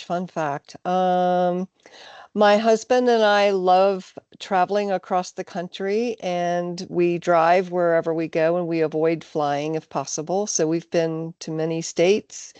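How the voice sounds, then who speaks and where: A woman introducing herself on a video call in a warm, plainspoken way. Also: lowish voice, fairly even intonation, slow pace, clean tone, dry recording. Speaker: transition specialist. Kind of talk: casual introduction.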